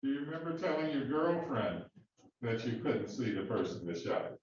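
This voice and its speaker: A man cross-examining a witness in a courtroom, questioning in a halting way with many fillers and restarts. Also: mid-range voice, very animated, darkish tone, a little hiss or hum. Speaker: defense attorney questioning a witness. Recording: echoey, distant, dull courtroom audio.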